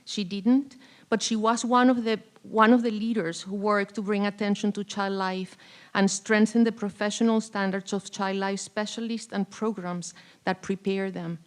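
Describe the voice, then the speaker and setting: A woman's voice: mid-range voice, fairly even intonation, moderate pace. A woman presenting an award with a prepared, formal tribute speech. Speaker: award presenter, faculty colleague.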